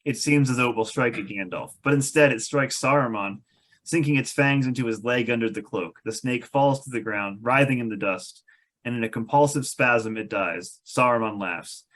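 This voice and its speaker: A man's voice: medium pitch, normal speed, fairly bright tone. A man reading stage directions aloud as narrator of a scripted table read.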